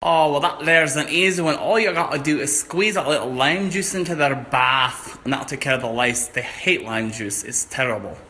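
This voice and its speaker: A man's voice: fairly high pitch, moderate pace, few pauses, bright, forceful tone. A man voicing a cocky giant pepper creature in a comic fairy tale, with a folksy salesman's swagger. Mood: cocky, helpful. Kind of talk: comic improvised storytelling.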